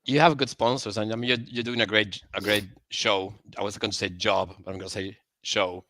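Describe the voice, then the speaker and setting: A man's voice: lowish voice, fairly even intonation, a little fast, pushed and bright. A man speaking as a podcast guest in casual chat, self-deprecating and laughing often.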